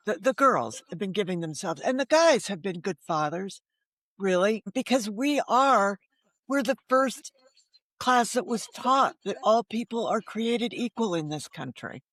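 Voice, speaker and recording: A woman aged seventy speaks as a guest in a relaxed interview format, sharing personal stories. Her speech is conversational and unscripted, and the sound is dry, with very little room in it. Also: medium pitch, no room sound. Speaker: podcast guest, novelist.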